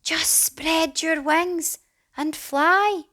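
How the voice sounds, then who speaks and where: Woman as a brave camel hero, loud and high. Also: drawn-out words, heavily acted. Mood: urgent, shouting. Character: brave, daring male camel hero, eager and encouraging.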